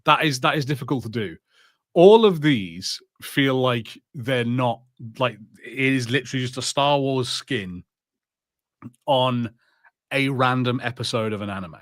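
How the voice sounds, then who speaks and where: A man's voice: mid-range voice, a little slow, a bit bright, no echo, clean studio sound. A man co-hosting a podcast, chatting casually through a film and TV review in an exasperated, sardonic way. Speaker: podcast co-host.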